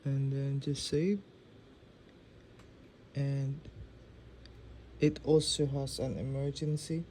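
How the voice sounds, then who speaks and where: A man's voice: mid-range voice, little pitch movement, takes it slowly, darkish tone, slight background noise. A man giving a casual narrated demo as he reviews a phone app.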